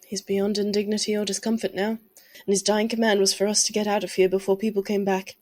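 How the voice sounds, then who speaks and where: An actress playing a brisk, composed woman who takes practical control in a crisis, in an audio drama. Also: medium pitch, level tone, darkish tone, natural voice. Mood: firm, urgent.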